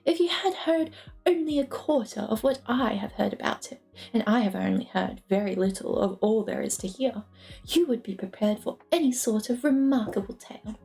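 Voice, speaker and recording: A woman's voice: fairly high pitch, lively intonation, moderate pace, vocal fry, mellow. A woman reading a novel aloud as storybook narration. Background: lofi music.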